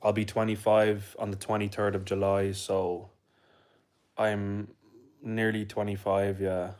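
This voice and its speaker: A man's voice: on the low side, little pitch movement, unhurried, a little mellow. Chatty young man on a phone livestream. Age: 20s. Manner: chatty, rambling, answers viewers' comments. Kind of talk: casual live-stream chat. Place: home indoors.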